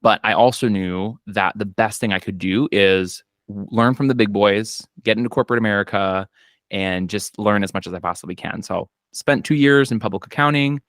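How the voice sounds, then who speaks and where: A man in his thirties from Minnesota with a Minnesota accent, an accountant and business owner telling his story in a casual chat, relaxed and full of fillers. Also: lowish voice, no echo, studio quality. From Minnesota, US Midwest. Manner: relaxed storytelling with frequent fillers and quoted asides. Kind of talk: casual podcast conversation. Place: home office. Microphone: video call.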